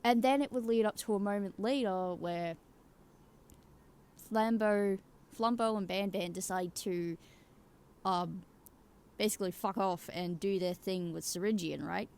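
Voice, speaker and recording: A woman's voice: medium pitch, unhurried, creaky, long pauses, darkish tone, clear, resonant tone. A woman giving casual, rambling opinion commentary in an emphatic way, swearing casually and checking in with the listener. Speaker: YouTuber giving game opinions.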